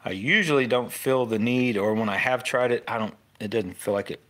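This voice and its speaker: A man's voice: medium pitch, a little fast, fairly bright tone. A middle-aged man with an American accent gives a casual, unscripted fishing tutorial as host, rambling informally and often interrupting himself.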